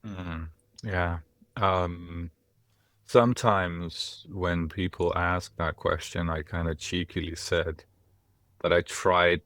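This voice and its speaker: A man from Denmark, a former monk, telling his life story as a podcast guest in a reflective, wry way with lively asides. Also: deep voice, no room sound. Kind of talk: casual podcast conversation.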